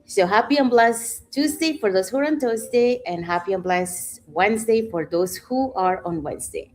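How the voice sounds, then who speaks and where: A woman's voice: mid-range voice, moderate pace, darkish tone. A middle-aged woman from Panama hosting a live online panel talk, chatty, with many fillers like "you know". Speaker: host.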